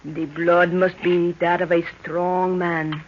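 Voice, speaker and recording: A woman's voice: on the low side, little pitch movement, takes it slowly. An old, noisy, narrow-sounding 1930s radio adventure. a proud young African witch woman who commands those around her. She speaks solemnly, in stilted, formal phrases. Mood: solemn, urgent. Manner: solemn, stilted formal speech without contractions.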